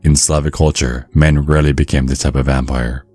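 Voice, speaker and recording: A man reading scripted ASMR narration in a measured, storytelling manner. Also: very deep voice, flat delivery, darkish tone, dry recording, faint music behind.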